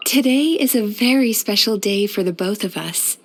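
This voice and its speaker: Gentle lady psychologist for a game, measured n soothing, a bit playful, medium pitch. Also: expressive. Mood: grateful, earnest. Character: gentle, caring female psychologist who banishes nightmares. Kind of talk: scripted video game character voice lines.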